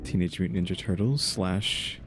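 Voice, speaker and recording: A man's voice: low-pitched, normal speed, noisy background. A man giving casual, laid-back video game commentary, musing aloud as he plays. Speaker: gaming channel host. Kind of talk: casual let's-play commentary. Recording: clear game music behind voice.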